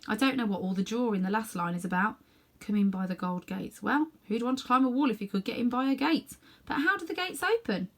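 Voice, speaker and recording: A woman's voice: fairly high pitch, animated, fairly quick. Woman as an earnest storybook schoolboy. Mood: pondering. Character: earnest, stubborn, plain-spoken schoolboy hero. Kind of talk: children's storybook character reading.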